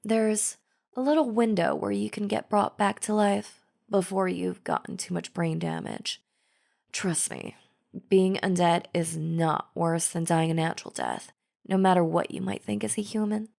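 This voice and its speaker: A woman's voice: mid-range voice, a little slow, vocal fry, darkish tone. A woman playing a chatty, falsely friendly zombie in an audio roleplay, talking casually and persuasively straight to the listener. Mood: coaxing, friendly. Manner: casual, chummy, persuasive, conversational. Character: chatty female zombie, falsely friendly, coaxing flesh-eater. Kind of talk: scripted audio roleplay, addressing the listener directly.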